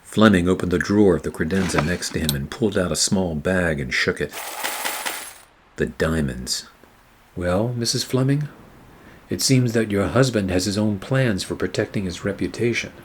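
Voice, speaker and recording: A man playing a weary, wry private eye, narrating a noir radio play in a sardonic, measured way. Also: sits low, animated, a little hiss or hum. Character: weary, wry hard-boiled male private eye narrating his case.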